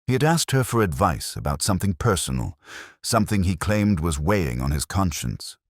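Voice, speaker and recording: A man reading scripted literary detective fiction in the first person, in a measured, brooding and formal manner. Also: deep voice, big pitch swings, dry recording, clean studio sound. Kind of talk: scripted literary audio drama narration.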